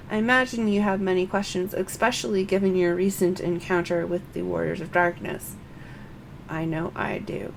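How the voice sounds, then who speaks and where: A woman game streamer chatting casually and reading game dialogue aloud, with a creaky voice. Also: medium pitch, a little hiss or hum, low-quality recording.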